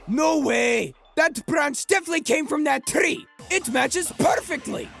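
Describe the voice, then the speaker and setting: A woman voicing a childish, excitable, defensive young character in an audio drama, exclaiming indignantly. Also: sits high, unhurried, creaky, soft background music. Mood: excited, defensive.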